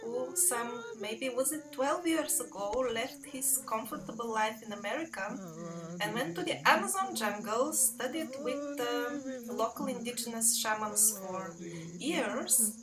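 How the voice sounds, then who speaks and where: High-voiced woman interviewer, distant mic. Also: unhurried. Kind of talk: conversational interview questions. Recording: distant mic, clear crowd noise behind.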